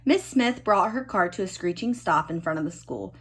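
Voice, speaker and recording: A woman reading a children's storybook expressively, with dramatic emphasis and drawn-out key words. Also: fairly low pitch, expressive, husky. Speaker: storybook reader.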